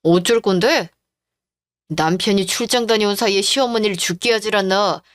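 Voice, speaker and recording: A woman's voice: medium pitch, moderate pace, no room sound, clean studio sound, lightly acted. A woman voicing a callous, cheating middle-aged husband who talks down rudely and dismissively, in a radio drama. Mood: contemptuous. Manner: rude, bullying, dismissive.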